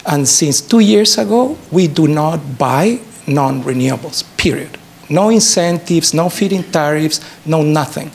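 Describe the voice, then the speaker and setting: A man's voice: on the high side, measured pace, creaky. A middle-aged man with a Chilean accent and native Spanish, answering an audience question as a professor, emphatic and fond of rhetorical questions. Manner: animated explaining, short emphatic statements, rhetorical questions. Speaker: professor giving a talk. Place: university lecture theatre. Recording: noisy lecture hall recording.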